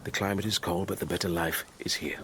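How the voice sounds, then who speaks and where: Deep-voiced, proud older Moorish stableman. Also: little pitch movement, sharp tone. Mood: calm, firm. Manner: solemn, measured, poetic. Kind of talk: period radio drama acting, lyrical speech. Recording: clearly noisy stable ambience behind.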